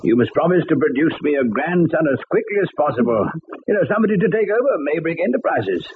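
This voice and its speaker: Old rich dad, warm and proud, slightly high voice, tinny lo-fi radio sound. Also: male voice, very animated, quick pace. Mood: fatherly. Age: older. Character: elderly wealthy widower father, proud and kindly. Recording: lo-fi old radio broadcast with hiss.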